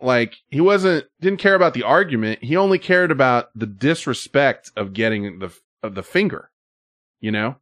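A middle-aged man talks casually and loosely, full of "like" and "you know". His voice sits in a plain middle range. He sounds relaxed among friends. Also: medium pitch, moderate pace. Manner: rambling storyteller with filler words. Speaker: podcast co-host. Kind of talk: casual chat.

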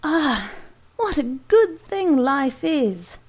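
A woman voicing a huge, jovial and grateful male giant who talks loud and exuberant in a read-aloud story. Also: high voice, big pitch swings, very slow, pitched above the performer's own voice, exaggerated voice. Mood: elated.

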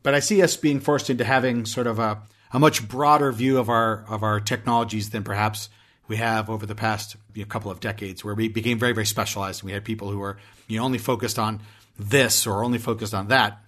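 A man's voice: lowish voice, fairly even intonation, quick pace, a bit bright. Chatty male podcast host. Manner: chatty, hesitant, many restarts. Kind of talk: podcast interview.

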